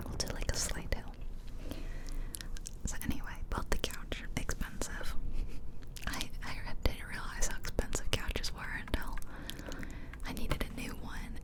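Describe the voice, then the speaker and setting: Whispering woman, gentle ASMR ramble. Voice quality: breathy unvoiced whisper. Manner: gentle, relaxed, casual rambling. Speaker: ASMR video creator. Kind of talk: whispered ASMR ramble over game footage. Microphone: close ear-to-ear stereo microphone.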